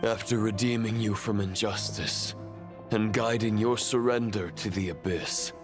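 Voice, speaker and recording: An actor voicing a fallen knight who serves the Abyss, speaking in a measured, formal and contemptuous way. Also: male voice, fairly low pitch, steady tone, music in the background, natural voice. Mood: scornful.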